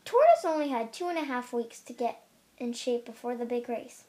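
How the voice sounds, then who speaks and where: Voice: medium pitch, animated, normal speed, warm tone. A young reader reading a children's picture book aloud, carefully, with the occasional stumble. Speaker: storybook reader.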